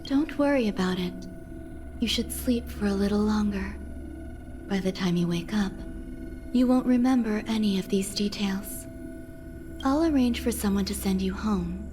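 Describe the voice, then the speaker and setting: A woman playing a composed, mysterious healer in a game cutscene, speaking in a measured, detached, businesslike way. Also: mid-range voice, noisy background, lightly acted. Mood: calm, matter-of-fact. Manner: measured, detached, matter-of-fact. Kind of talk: dramatic video game cutscene dialogue. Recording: music under the voice.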